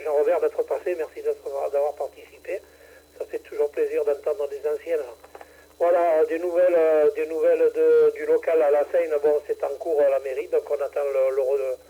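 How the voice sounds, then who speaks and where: A man's voice: on the high side, breathy edge, phone-quality sound. A man chairing an amateur radio net over the air, talking in a hesitant way with many fillers and restarts. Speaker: radio club president running a ham radio net. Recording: radio transmission.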